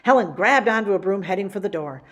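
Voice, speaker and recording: A woman reading a children's picture book as a story-time host, with theatrical, deliberate emphasis. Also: mid-range voice, lively intonation, a bit bright. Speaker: library story-time reader.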